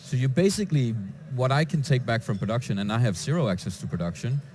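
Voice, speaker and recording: A man from Denmark, a database developer answering interview questions in a conference lounge, with frequent halting restarts. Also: mid-range voice, lively intonation, a little mellow, slight background noise. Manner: hesitant, with many restarts and fillers.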